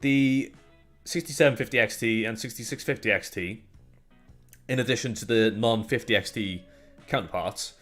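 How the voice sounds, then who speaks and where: A man's voice: medium pitch, moderate pace, fairly bright tone. Young welsh-accented male co-host, chatty and jokey, rambles with restarts, faint music behind. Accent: light Welsh. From Wales. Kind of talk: casual podcast chat.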